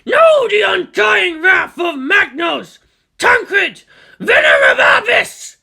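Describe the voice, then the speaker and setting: Huge war robot, shouting super slow battle cries, proud and furious, pitched way up. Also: female voice, very animated, vocal fry, full character voice. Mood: wrathful, triumphant. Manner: bellowing slow, drawn-out battle declarations. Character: roaring giant war machine, proud, wrathful, zealous.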